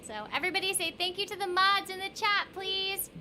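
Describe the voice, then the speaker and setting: A woman's voice: very high-pitched, fairly quick, sharp tone, a little hiss or hum. A woman hosting a casual game livestream, chatting playfully with her viewers as she plays. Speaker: game livestream host, voice actor. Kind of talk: casual livestream chat while playing a game. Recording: game music behind the voice. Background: video game music.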